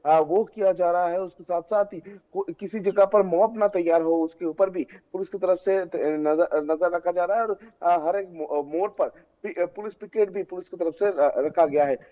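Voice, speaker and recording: High-voiced halting male reporter, dull link. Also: steady tone. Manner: halting, repetitive, stumbling over words. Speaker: field news reporter. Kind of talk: unscripted live news report.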